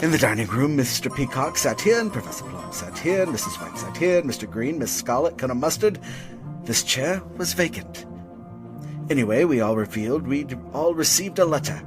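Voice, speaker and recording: A man playing a fussy, excitable butler in a comic murder mystery audio drama, theatrical, formally polite and flustered. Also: mid-range voice, fairly quick, close to an everyday voice. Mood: brisk explaining. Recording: music bed under dialogue.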